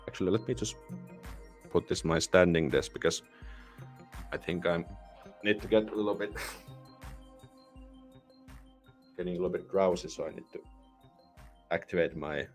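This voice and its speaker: A young man from Finland with a Finnish accent, hosting a casual live Q&A and sounding tired, with a relaxed, halting way of talking full of restarts. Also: low voice. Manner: relaxed, halting, with many restarts and soft laughs. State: tired, yawning. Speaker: livestream host. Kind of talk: casual live Q&A, reading viewer comments. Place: small home room with patterned curtain. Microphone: studio microphone on boom arm, headphones on.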